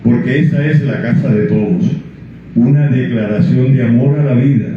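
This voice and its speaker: An older man speaks as an author at his book launch. His talk is mid-pitched and halting, full of restarts. The sound is very echoey and thin, like a phone line, with faint hiss. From Colombia. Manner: halting, with many restarts and fillers when speaking freely. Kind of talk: reading prologue, then answering questions. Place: book presentation event. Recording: echoey room over a tinny lo-fi line.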